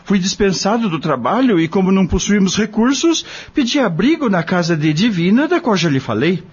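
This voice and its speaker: A humble, worried Brazilian farmhand father acted by a man in an audio drama. He speaks simply and plaintively in a fairly high, husky voice that rises and falls expressively. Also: sing-song, fairly quick, full character voice. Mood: plaintive, confiding. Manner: plaintive, simple colloquial speech. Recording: dull lo-fi audio.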